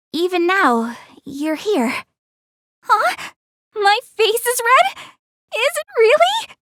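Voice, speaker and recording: A young goddess girl from a video game dub, with a high voice and lively, swooping intonation. She is proud yet secretly shy, quick to turn defensive and stammer. The recording is dry and close. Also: dry recording, exaggerated voice. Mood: flustered, embarrassed. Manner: tsundere, easily flustered, defensive stammering.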